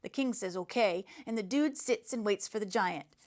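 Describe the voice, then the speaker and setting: A woman's voice: medium pitch, normal speed, close to an everyday voice. A woman voicing a brash schoolboy who tells a macho storybook action tale in a blunt, slangy, boastful way. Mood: boastful, excited.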